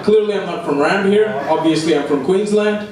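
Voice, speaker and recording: A young man delivers stand-up comedy in a fairly high voice, with deadpan timing. He is heard from far off in a very echoey room, with clear, heavy background noise. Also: fairly quick. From immigrated to Australia at age eleven. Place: indoor comedy room before a live audience.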